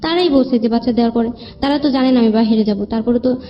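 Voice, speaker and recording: A woman's voice: fairly high pitch, quick pace. A young woman with a Bangladeshi accent telling her own life story as a guest, in colloquial, loosely strung sentences. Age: young adult. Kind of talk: informal personal storytelling. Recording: dull lo-fi, long reverb, music behind.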